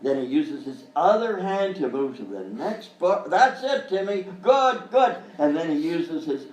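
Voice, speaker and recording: A man's voice: a little high, big pitch swings, unhurried, darkish tone. A man playing a flustered, relentlessly cheerful uncle who hosts a children's show in a live radio comedy sketch, talking down soothingly. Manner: reassuring, singsong talk to children. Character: avuncular, flustered male kids' show host, relentlessly cheerful. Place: live performance in hotel venue. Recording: roomy live stage, distant mic, faint noise.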